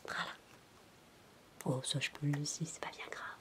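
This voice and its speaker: A woman doing soft-spoken ASMR storytelling, playful and whispery, wandering into chatty asides as if to a child. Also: low voice, fairly even intonation, normal speed. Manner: playful babysitter addressing a child listener. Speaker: ASMR roleplay performer.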